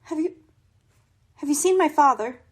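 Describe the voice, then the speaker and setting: A woman's voice: high voice, animated, moderate pace, slight background noise. An actress playing a guilt-ridden, anxious young woman in a radio drama, hesitant, earnest and troubled. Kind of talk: old-time radio drama acting.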